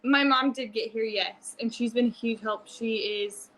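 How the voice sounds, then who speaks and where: A woman in her twenties co-hosts a casual family livestream. Her voice is bright and fairly high. She speaks informally, in an everyday conversational way. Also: fairly even intonation, moderate pace, low-quality recording. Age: 20s. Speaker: family vlog co-host.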